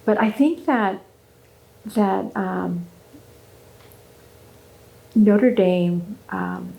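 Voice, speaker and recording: Woman, 50s, from Southern California, native German speaker, gravelly voice, earnest and animated, often restarting her sentences. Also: medium pitch, rough recording. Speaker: guest speaker. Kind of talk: interview conversation.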